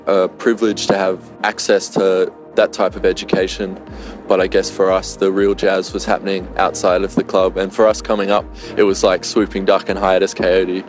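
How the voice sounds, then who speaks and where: A male musician talking reflectively in an interview, often pausing to find his words. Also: deep voice. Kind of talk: interview answer.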